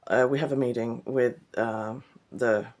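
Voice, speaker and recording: A hoarse woman with a croaky, scratchy voice, chatting casually to camera from her art studio in a self-deprecating way. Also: very deep voice, steady tone, measured pace, darkish tone. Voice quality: itchy, scratchy throat. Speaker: artist. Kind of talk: casual vlog chat to camera.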